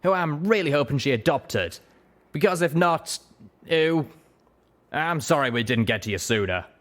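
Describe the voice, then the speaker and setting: A man voicing a brisk, wry female griffin mercenary leader in a storybook reading, chatty and blunt. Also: fairly high pitch, expressive, fairly bright tone, voice pushed up. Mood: blunt, awkward.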